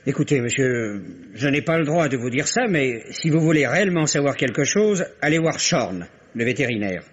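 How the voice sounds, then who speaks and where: An actor playing a harried, stubborn small-town registry clerk with a husky voice, in 1950s French radio drama. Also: male voice, medium pitch, animated, normal speed. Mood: grudging. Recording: dull, band-limited vintage radio recording.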